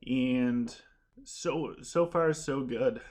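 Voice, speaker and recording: A man's voice: medium pitch, drawn-out words, a little mellow. A man commentating his game live and answering viewer questions, chatty and rambling, with his voice starting to go. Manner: chatty, rambling, frequent restarts while playing. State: losing his voice. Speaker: gaming live streamer. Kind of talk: casual live-stream commentary.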